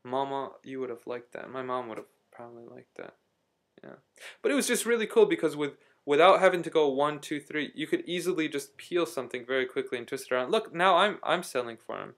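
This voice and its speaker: A man's voice: medium pitch, expressive, brisk, fairly bright tone. A man talking casually in a video diary, rambling through a story with lots of ums and restarts. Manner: rambling, chatty, many fillers and restarts. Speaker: vlogger. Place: living in Istanbul.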